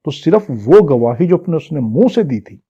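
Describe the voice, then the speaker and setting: Mellow-voiced Pakistani man teaching law. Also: on the high side, big pitch swings, normal speed, breathy edge. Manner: didactic, phrase-by-phrase explaining, switching between two languages. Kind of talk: lecture for exam students, reading out statute and explaining it. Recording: dull, muffled lo-fi audio.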